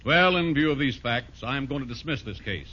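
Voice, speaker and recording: Stern, forceful judge. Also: male voice, mid-range voice, lively intonation, normal speed. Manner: authoritative, formal. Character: stern, formal night court judge, a man. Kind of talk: 1940s radio sitcom acting. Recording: muffled, noisy vintage radio recording.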